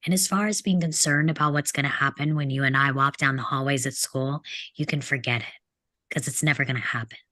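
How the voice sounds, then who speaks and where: Casting: female reader as sarcastic, angry high school tough boy, low-pitched, table-read drama. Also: steady tone, brisk, sharp tone, no room sound, exaggerated voice. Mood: scornful. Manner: blunt, sneering, swearing. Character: angry, sarcastic rebellious teenage boy from a rough home. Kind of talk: video-call table read of a film script.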